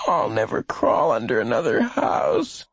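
A frightened young boy, played by a man reading a storybook, speaks in a high, strained voice. He is hurting and whimpers his way through halting, drawn-out words. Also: wildly swooping pitch, vocal fry, fairly bright tone, husky, no echo, heavily acted. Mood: weak, anxious. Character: frightened boy bitten by a rattlesnake, in pain.

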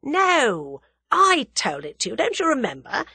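Woman voicing a sceptical young English man, slightly husky. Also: sits high, huge pitch swings, sharp tone, dry recording, pitched above the performer's own voice, exaggerated voice. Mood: puzzled, firm. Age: young adult. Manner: measured, sceptical, dry. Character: sensible, doubtful, wry young English man. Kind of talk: dramatised audiobook reading.

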